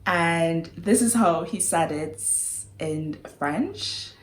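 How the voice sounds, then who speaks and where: A woman's voice: low voice, extremely slow, a little hiss or hum. A young woman with a Trinidadian accent, chatting casually to camera, giggly, sighing and apologizing as she goes. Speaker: vlogger. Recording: roomy echo.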